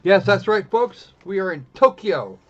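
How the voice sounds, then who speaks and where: A man's voice: high-pitched, sing-song, measured pace, fairly bright tone. A man giving casual, snarky live commentary as he plays a visual novel, exclaiming and reading bits aloud. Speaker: streamer playing a visual novel. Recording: dull lo-fi microphone sound.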